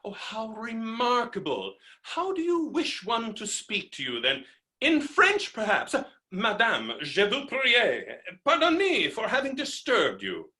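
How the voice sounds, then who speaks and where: A man's voice: very high-pitched, huge pitch swings, measured pace, bright, forceful tone, exaggerated voice. A man playing a blustering, boorish, melodramatic fellow who rails against women in a theatrical, mocking way, in a stage comedy reading.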